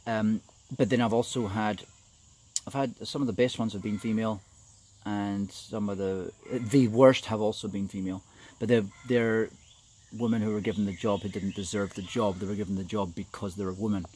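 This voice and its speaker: A man's voice: on the low side, fairly even intonation, moderate pace, darkish tone, slight background noise. A middle-aged man from New Zealand giving an informal, opinionated monologue, rambling and hesitant as he goes. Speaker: video commentator.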